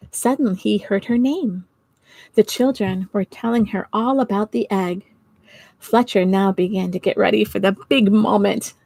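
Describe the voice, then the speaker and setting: A middle-aged or older woman reading a storybook to children, expressive and giggly, pausing to chat with her listeners. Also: mid-range voice, vocal fry, no room sound. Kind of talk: storytime read-aloud for children. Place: small indoor home office.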